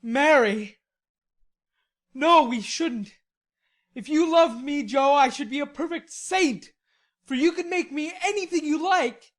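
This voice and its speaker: A woman's voice: high-pitched, a little slow, pitched above the performer's own voice. A woman voicing a hot-tempered, heartbroken young man who pleads in vehement outbursts.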